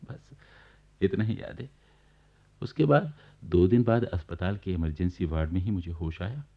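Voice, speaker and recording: A genial, wry man narrates a radio play from his sickbed. His voice is fairly low and he chats confidingly to the listener. The old recording is dull. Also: normal speed. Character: genial bedridden government officer recounting his neighbours' life.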